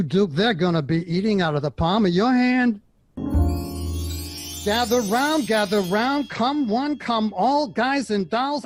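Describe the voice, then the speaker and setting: A man's voice: high-pitched, dry recording. A man playing a crude, pushy publicist with a leering carnival barker's patter in an audio drama. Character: brash, crude, pushy male publicist and showman. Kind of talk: audio drama acting, 1960s show-business patter.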